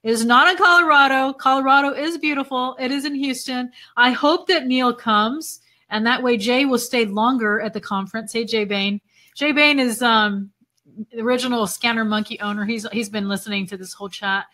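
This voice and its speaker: A woman's voice: on the high side, normal speed, sharp tone. A woman host on a live video chat, chatty and full of fillers, who is losing her voice. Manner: chatty, laughs often, many fillers. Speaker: event host interviewing a speaker.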